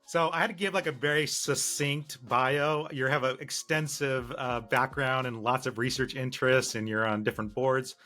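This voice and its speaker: Bright-voiced male podcast host. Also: medium pitch, steady tone, normal speed, hardly any pauses, faint music behind. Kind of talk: podcast interview.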